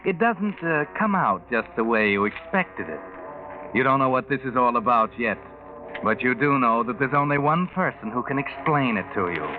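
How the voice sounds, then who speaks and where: A man's voice: medium pitch, lively intonation, moderate pace, close to an everyday voice. A man playing a knowing, sardonic storyteller narrating a suspenseful crime tale on 1940s radio. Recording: tinny band-limited 1940s radio with music.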